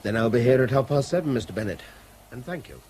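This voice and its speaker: A man's voice: mid-range voice, lively intonation, quick pace, a little mellow, a little hiss or hum, natural voice. An actor playing a polite, mild-mannered Englishman in a radio drama, courteous and slightly hesitant. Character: polite, mild-mannered middle-class Englishman, former soldier. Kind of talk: British radio drama acting.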